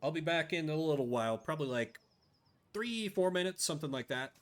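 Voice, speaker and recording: A man's voice: on the high side, animated, fairly quick. A man chatting casually to viewers as he streams a video game playthrough.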